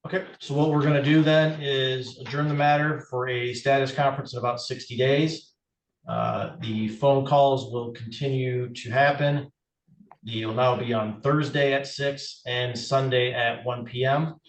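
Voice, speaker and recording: A man's voice: mid-range voice, fairly even intonation, a little slow, a little hiss or hum. A middle-aged man presiding as judge, talking procedurally in a courtroom on a video call. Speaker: judge presiding over a family court hearing. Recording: roomy, distant courtroom microphone on video call.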